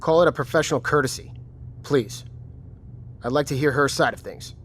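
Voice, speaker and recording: A man's voice: medium pitch, normal speed, a bit bright, slight background noise, close to an everyday voice. A man playing a grave, controlled commanding lieutenant in an audio drama, speaking tersely and with authority. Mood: controlled, skeptical.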